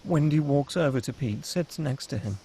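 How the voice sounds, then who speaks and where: A man's voice: fairly high pitch, normal speed, warm tone, a little hiss or hum, low-quality recording. A man reading stage directions as the narrator of a screenplay, in a dramatic scripted style.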